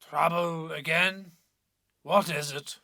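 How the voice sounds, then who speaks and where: A man playing a fat, pompous, contemptuous parish priest in a dramatic reading, with a deep, grunting voice. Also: fairly even intonation, creaky, pushed and bright, dry recording, studio quality, exaggerated voice. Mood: gruff, irritated. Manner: pompous, slow, self-satisfied. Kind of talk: dramatic short-story reading.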